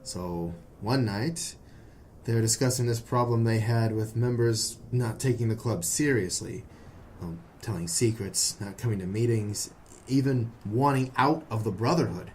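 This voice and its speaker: An actor playing an earnest, nervous young man, hesitant and excitable, in a noir audio drama. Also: lowish voice, measured pace, slight background noise, lightly acted. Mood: uneasy, confessing. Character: earnest, nervous young male defector from secret brotherhood.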